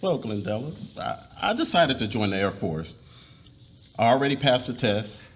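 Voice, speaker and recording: A man playing an earnest, devout young suitor in a live dramatic reading, speaking in a measured, persuasive way. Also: mid-range voice, big pitch swings, close to an everyday voice. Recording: noisy live room recording.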